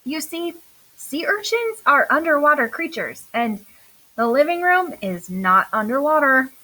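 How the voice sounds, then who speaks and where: A woman's voice: sits high, big pitch swings, measured pace, bright, forceful tone, full character voice, rough recording. A woman voicing an earnest, literal-minded furry male pet animal in a children's storybook, speaking in a polite, careful, matter-of-fact manner. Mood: puzzled, reasoning.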